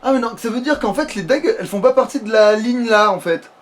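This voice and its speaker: A man's voice: high voice, animated, fast talker. A man chatting casually as he plays a video game, joking in loose, slangy language with swearing. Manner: swears freely, slangy, jokey. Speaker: let's play gamer. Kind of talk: casual chat while playing a video game. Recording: background noise.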